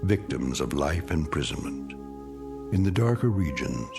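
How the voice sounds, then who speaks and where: Man with a very low voice for ominous, measured anthology narration, music behind. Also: sing-song. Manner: portentous, measured. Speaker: narrator of an anthology radio drama. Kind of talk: scripted dramatic narration.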